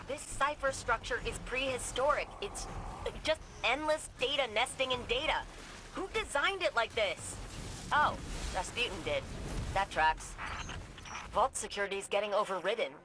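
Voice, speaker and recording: An actress playing a wry, brisk female mission operator in a video game, with an informal, quippy and practical delivery. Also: fairly high pitch, lively intonation, lightly acted. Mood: wry, puzzled. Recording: clear background ambience noise.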